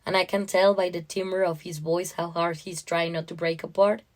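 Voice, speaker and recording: A woman's voice: lowish voice, flat delivery, normal speed. Woman narrating a dramatic short story.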